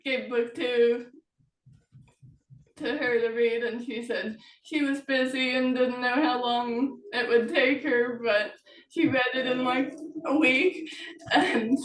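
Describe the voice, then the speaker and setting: A woman chatting casually as a host and author, speaking in halting, broken phrases with pauses. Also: on the high side, fairly even intonation. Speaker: host, author of historical novels. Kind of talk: casual chat about writing. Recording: roomy echo, distant from the mic.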